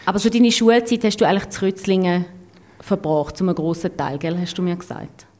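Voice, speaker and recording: A woman's voice: fairly low pitch, fairly even intonation, brisk, a little mellow. A woman with a Swiss German accent, hosting a podcast interview in a casual, chatty style. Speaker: podcast host.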